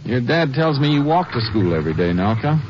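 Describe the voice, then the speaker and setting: An actor playing a steady, fatherly Texas Ranger with an American accent in a 1950s radio drama, plainspoken and calmly authoritative. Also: mid-range voice, fairly quick, natural voice. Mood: gentle, probing. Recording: muffled, noisy old radio recording.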